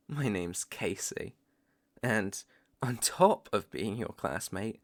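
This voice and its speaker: A young British man playing a stern, taunting vampire hunter in a roleplay audio drama, speaking deliberately and with cool menace. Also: lowish voice. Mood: smug, menacing. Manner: slow, deliberate, threatening.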